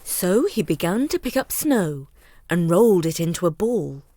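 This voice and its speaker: A woman narrating a bedtime story for children in an expressive, deliberate storyteller's manner. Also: lowish voice, no room sound, clean studio sound.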